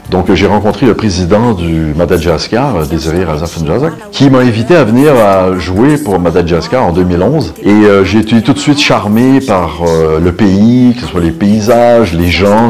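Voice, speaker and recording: A middle-aged man talking about himself in an interview, hesitating and pausing between short phrases. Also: on the low side, a little mellow. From Canada. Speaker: videographer, photographer and musician being interviewed. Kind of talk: interview self-portrait. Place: indoor photo studio. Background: background music.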